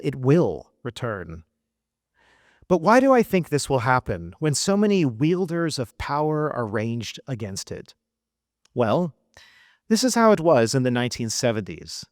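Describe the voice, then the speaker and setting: A middle-aged American man delivers a keynote address at a gala, earnest and emphatic, leaning on deliberate pauses. His pitch rises and falls with a lot of movement, and the sound is close and dry, with little room around it. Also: no echo. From United States. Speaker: keynote speaker. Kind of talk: formal speech at a gala. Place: event hall.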